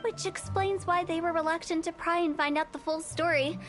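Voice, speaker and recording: A woman's voice: very high-pitched, steady tone, normal speed, fairly bright tone, faint music behind. A woman voicing a playful, eerie young female funeral parlor director in a video game, teasing, theatrical and self-assured. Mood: matter-of-fact. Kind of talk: video game character acting.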